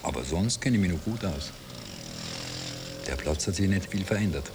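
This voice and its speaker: Low-voiced Viennese impostor husband. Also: male voice, animated, normal speed. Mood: wry, reminiscent. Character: weary confessed impostor husband from working-class Vienna. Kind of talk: 1960 radio play acting. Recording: noisy old radio drama cassette transfer.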